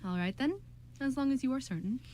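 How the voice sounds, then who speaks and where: A woman plays a reserved young magician's transporter in a radio play. He is calm and courteous, with formal, carefully enunciated words. There is some noise on the amateur recording. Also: high voice, brisk, voice pushed up. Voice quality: crisply enunciated. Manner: calm, clear, formal speech. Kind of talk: amateur radio drama reading.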